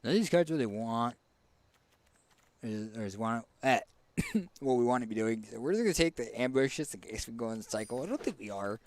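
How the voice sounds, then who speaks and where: Raspy man thinking aloud over a game. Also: medium pitch, very animated, fairly quick, dry recording. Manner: thinks aloud, hesitant fillers and restarts. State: hoarse, tickly throat. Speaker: streamer. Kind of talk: casual gameplay commentary.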